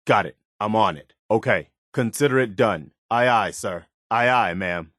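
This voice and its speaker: A man's voice: fairly low pitch, normal speed, fairly bright tone, no room sound, studio quality. A man voicing an earnest, dutiful militia soldier, a loyal and principled game companion who speaks plainly and sincerely. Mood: calm, helpful. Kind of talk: video game companion voice lines.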